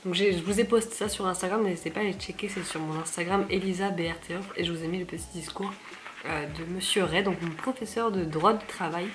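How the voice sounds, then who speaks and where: A young woman vlogging from her living room, hoarse from a cold, chatty and self-mocking with lots of fillers. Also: on the low side, fast talker, noisy background. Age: young adult. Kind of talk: casual vlog chat to camera.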